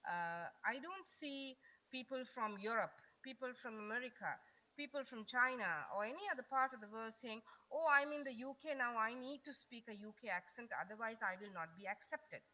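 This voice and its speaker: Bright-voiced woman giving a talk. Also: fairly high pitch, normal speed, rough recording. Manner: earnest, explanatory, addresses the audience directly. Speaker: guest speaker. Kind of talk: conference talk.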